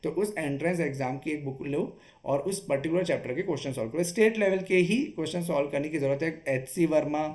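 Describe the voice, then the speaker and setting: A man with a voice pitched a little higher than most men. He talks like a coach laying out a study strategy, explaining each step and punctuating it with short check-in tags. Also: quick pace, a little mellow. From Chhattisgarh, India. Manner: explanatory, often checks in with short tag questions. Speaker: exam coach. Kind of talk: instructional exam-strategy talk.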